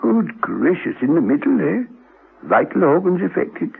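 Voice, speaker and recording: Husky, flustered elderly gentleman. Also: sits high, big pitch swings, normal speed, full character voice. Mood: shocked, anxious. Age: older. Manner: hesitant, stammering, repeats himself. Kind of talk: classic radio drama acting. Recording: muffled, phone-like old radio recording.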